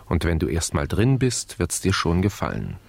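A grown grandson in a radio play, patient but worried. His voice is very low and gravelly, in a dry studio sound. He coaxes and reasons earnestly. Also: wildly swooping pitch, normal speed, darkish tone, no echo, heavily acted. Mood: coaxing, worried. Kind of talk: German radio play acting.

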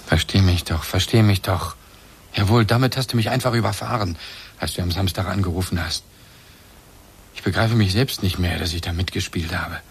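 An actor playing a bitter, reproachful middle-aged husband in a German radio play, agitated and accusing. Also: male voice, sits low, normal speed, vocal fry, a little hiss or hum.

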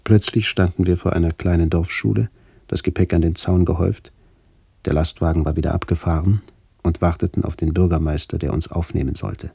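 Very deep-voiced man narrating solemnly. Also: steady tone, fairly quick, gravelly, dark tone, husky, dry recording. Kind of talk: scripted literary radio narration.

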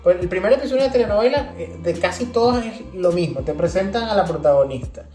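Casual podcast co-host: Venezuelan woman, soft dark tone, roomy echoing sound with faint music underneath. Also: on the low side, animated, fast talker.

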